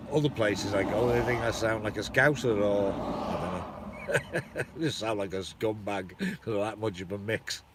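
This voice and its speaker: A man's voice: on the low side, a little hiss or hum. A man with a North Welsh accent tinged with Shropshire, chatting casually and rambling on a live stream outdoors, swearing and chuckling as he goes. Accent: North Welsh with a hint of Shropshire. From North Wales.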